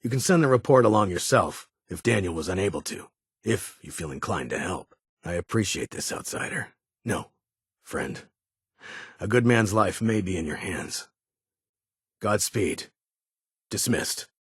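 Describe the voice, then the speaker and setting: An actor playing a stern, weary security chief in a video game, with a husky voice and a formal, clipped, authoritative manner. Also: male voice, deep voice, expressive, unhurried, long pauses, no echo, clean studio sound, full character voice. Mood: solemn, firm. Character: stern, weary male vault security chief, guarded but honorable.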